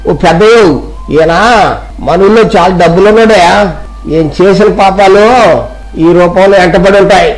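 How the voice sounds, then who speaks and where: A man performs an eager village servant in a storybook drama. The voice is high for a man, and the talk is colloquial, respectful and helpful. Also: fairly even intonation, normal speed, close to an everyday voice, poor audio. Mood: alarmed. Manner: colloquial village speech, deferential. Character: rustic male servant, deferential, reassuring, eager to help.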